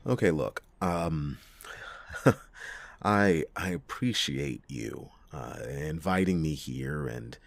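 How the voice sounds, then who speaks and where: A man's voice: very deep voice, big pitch swings, creaky, exaggerated voice. A man playing a world-weary, reluctant private detective in a hesitant, dramatic noir roleplay monologue. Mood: reluctant, pleading. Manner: hesitant, drawn-out phrasing with pauses and sighing chuckles. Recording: echoey long reverb, music behind.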